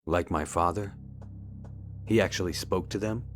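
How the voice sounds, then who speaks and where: A man's voice: sits low, normal speed, slight background noise, natural voice. An actor playing an earnest, wary amateur investigator and worried father in an adventure game, questioning in a guarded, restrained way. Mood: troubled, disbelieving. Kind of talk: adventure game dialogue acting. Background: music.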